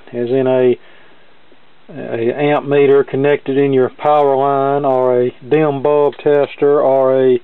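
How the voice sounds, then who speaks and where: A man talking through a hands-on repair step by step in a plain-spoken, explanatory and measured way. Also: medium pitch, clear, resonant tone, dry recording. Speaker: hobbyist radio repair demonstrator. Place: indoor cluttered electronics workbench. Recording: narrow-band, dull phone-like sound.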